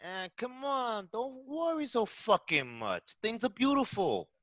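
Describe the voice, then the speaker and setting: A man's voice: very high-pitched, sing-song, a little slow, fairly bright tone, lo-fi sound, heavily acted. A man playing a brash, foul-mouthed gangster, needling in wiseguy patter. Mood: cocky reassuring. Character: brash, foul-mouthed New York Italian-American gangster Tommy. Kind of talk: amateur dramatic table read of film script.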